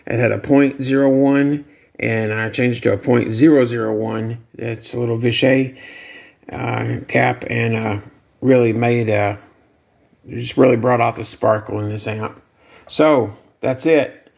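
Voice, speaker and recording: A man with a noticeably creaky, gravelly voice talks through a hands-on demonstration. He is casual and plainspoken, explaining technical details haltingly, like a hobby builder showing his work. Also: mid-range voice, steady tone, a little mellow. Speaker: guitar amp builder demonstrating a modification.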